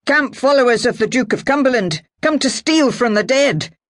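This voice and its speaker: A narrator voicing a fierce young Scottish swordsman who speaks loudly and threateningly. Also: high voice, animated, moderate pace, no room sound, clean studio sound, pitched above the performer's own voice. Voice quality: loud, snarling, threatening.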